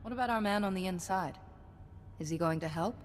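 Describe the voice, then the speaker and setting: Game cast: woman as a dutiful Jedi, low-ish voice, firm and earnest, reverberant space. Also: brisk, a little hiss or hum, natural voice. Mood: resolute. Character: principled female Jedi, resolute and dutiful. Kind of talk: game voice acting.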